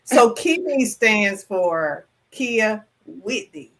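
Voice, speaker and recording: A woman's voice: mid-range voice, expressive, extremely slow, fairly bright tone. A woman hosting a talk show, asking her guest encouraging questions. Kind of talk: interview hosting.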